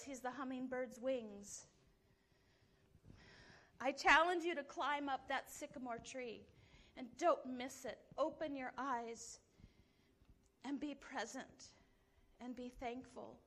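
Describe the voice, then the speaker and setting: A woman gives slow, earnest inspirational remarks to a church congregation. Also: high-pitched, a bit bright.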